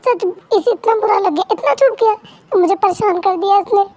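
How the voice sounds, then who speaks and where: A woman's voice: very high-pitched, fairly quick, a little mellow. A woman voicing an upset young wife who complains in a whiny, melodramatic way, in an amateur fan dub. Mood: sulky.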